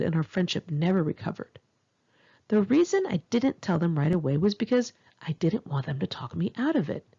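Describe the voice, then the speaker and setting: Memoir narrator: Californian woman, gravelly and husky, lively intonation, candid conversational storytelling. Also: lowish voice, muffled recording. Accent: Californian American. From Los Angeles area, California, United States. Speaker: author reading own memoir. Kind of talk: narrated memoir audiobook, one-take home reading.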